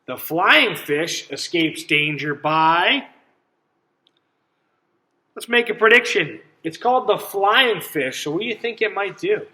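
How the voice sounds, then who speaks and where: A man reading a picture book aloud to children as a teacher, pausing to ask simple questions and explain things. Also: sits high, expressive, bright, forceful tone. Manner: instructive, checks understanding with simple questions.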